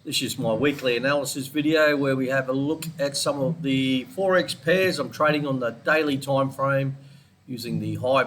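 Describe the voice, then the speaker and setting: A man's voice: mid-range voice, a bit bright, a little hiss or hum. An Australian man with a congested head cold, chatting casually through a weekly forex trading analysis and rambling off on side topics. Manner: chatty, rambling, digressive, with small laughs.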